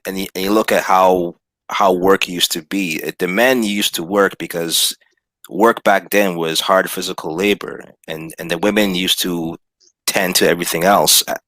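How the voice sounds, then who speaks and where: Very deep man, forceful and insistent. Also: dry recording. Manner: insistent, restarts often. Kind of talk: panel debate.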